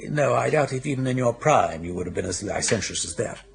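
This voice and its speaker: Breezy upper-class English brother, older: swooping, higher-pitched, gravelly edge, teasing wit for radio comedy. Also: male voice, animated, a little fast. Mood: mock warning. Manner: urbane, wry, chatty and theatrical. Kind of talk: British radio comedy drama acting.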